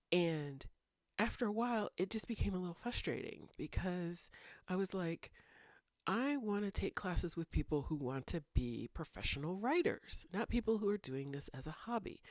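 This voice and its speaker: A woman's voice: deep voice, no room sound, studio quality. A woman in her thirties hosting a writing vlog, a little congested from a cold, talking thoughtfully and meandering with fillers. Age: 30s. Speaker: writing channel host. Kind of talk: casual talking-head vlog.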